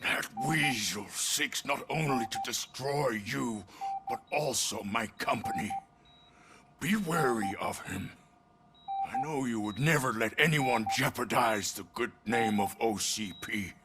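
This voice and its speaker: A man playing a frail, ailing old company chief in a video game, weary, wistful and halting. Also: medium pitch, heavy background noise, natural voice. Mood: weary, grave. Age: older. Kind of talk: video game cutscene acting.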